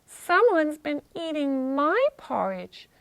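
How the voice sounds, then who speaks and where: A woman plays a mother bear in a children's story. Her voice is medium, a little raised and lilting. She speaks in a measured, mildly indignant way. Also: very animated, vocal fry, heavily acted, poor audio. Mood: mild indignation. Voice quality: medium-pitched voice. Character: indignant Mama Bear, grown female bear.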